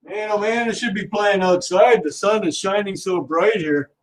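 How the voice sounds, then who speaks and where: A man's voice: sits high, normal speed, low-quality recording. A man hosting a casual livestream, chatting easily with viewers while he works on scrap. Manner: easygoing, chatty, talks to viewers while working. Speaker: livestream host.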